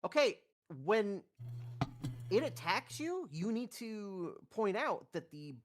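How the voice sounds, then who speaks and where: Very animated man chatting over a game. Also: sits high, slow pace, background noise. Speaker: player in a tabletop game. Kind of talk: casual tabletop game chat. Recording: loud music behind the voice.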